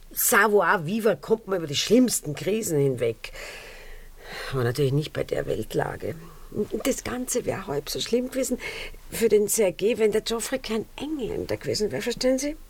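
A woman acting a worldly, flighty, self-dramatising baroness with a Viennese accent in a radio play, speaking in an affected, theatrical way. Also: medium pitch. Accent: Viennese Austrian. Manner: affected, theatrical, sprinkles in French phrases.

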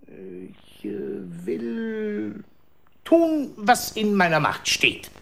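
Swooping, fawning court physician. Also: male voice, sits high, wildly swooping pitch, extremely slow, creaky, a bit bright, heavily acted. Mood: nervous, evasive. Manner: pompous, fawning, wheedling. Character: obsequious, sly royal court physician. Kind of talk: children's radio drama acting.

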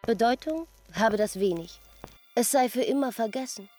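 A woman playing a wounded villager, reciting in a stylised, deliberate, drawn-out way for a literary radio play. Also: fairly high pitch, animated, dark tone, close to an everyday voice. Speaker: radio drama performer. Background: prominent marimba-like mallet music.

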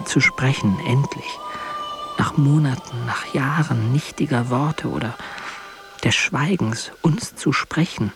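An actor playing a tender, wounded lover in a poetic radio drama, speaking in hushed, intimate, halting phrases. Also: male voice, mid-range voice, over music. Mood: earnest, yearning. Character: tender, wounded lover addressing his beloved Sarah.

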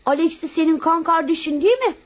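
An actress in a radio play voicing an innocent young child, earnest, inquisitive and sympathetic. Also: female voice, very high voice, animated, fast. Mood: curious. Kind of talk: radio theatre acting.

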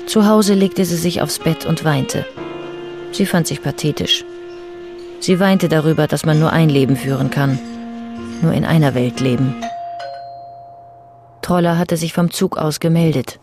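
A woman's voice: deep voice, a little slow, long pauses. A woman as the composed narrator of a radio crime drama, reading literary prose in a sober, restrained manner. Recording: music bed under the voice.

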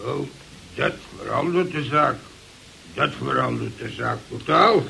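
A tipsy seafaring fellow with a very creaky, gravelly voice. He drawls along slowly, rambling and slurring like a jovial drunk. It is an old radio play with a faint hiss. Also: medium pitch, sharp tone, exaggerated voice. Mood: mellow, tipsy. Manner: rambling, stammering, bursting into song. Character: drunken, jovial, rambling big sailor, tall tale teller.

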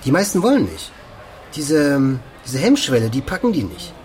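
An actor playing a frank German expatriate manager, talking informally and a little haltingly in a radio drama. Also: male voice, mid-range voice, huge pitch swings, a little mellow. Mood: frank, resigned. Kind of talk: conversational documentary radio drama.

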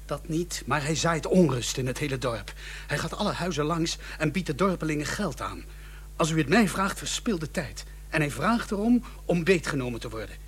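An actor playing an urgent, disapproving villager with a husky, breathy voice in a radio play. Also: male voice, fairly high pitch, animated, normal speed. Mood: urgent, hushed.

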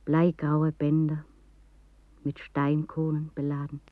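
Dreamy woman, hushed slow recitation, radio poetry, dry phone-like recording. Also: deep voice, monotone, dry recording. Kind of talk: poetic radio drama recitation.

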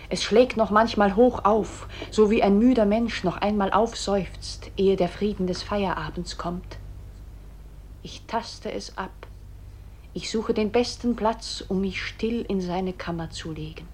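An actress playing a gentle, consoling figure of Death in a poetic radio play, hushed, soothing and deliberate. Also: female voice, mid-range voice, close to an everyday voice. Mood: tender, soothing. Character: gentle female Death, consoling, tender, unhurried. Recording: old radio recording with faint noise.

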